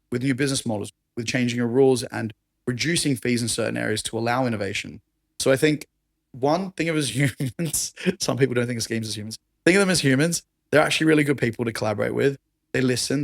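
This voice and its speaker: A man with an Australian accent and a raspy voice, talking enthusiastically as a podcast guest. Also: mid-range voice, no room sound, studio quality. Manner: enthusiastic, conversational, laughs often. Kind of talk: casual chat.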